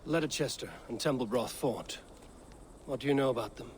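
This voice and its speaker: An actor playing a determined, commanding Viking warrior in a video game, speaking bluntly and tersely. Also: male voice, medium pitch, moderate pace, slight background noise, natural voice. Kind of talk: video game cutscene acting.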